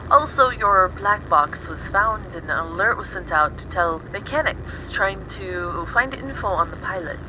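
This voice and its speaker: A woman's voice: medium pitch, animated, measured pace, hardly any pauses, lightly acted. A woman playing a caring, teasing and bossy female mechanic friend in an audio drama. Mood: concerned. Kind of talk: audio drama dialogue over a call. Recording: clear vehicle and street noise behind.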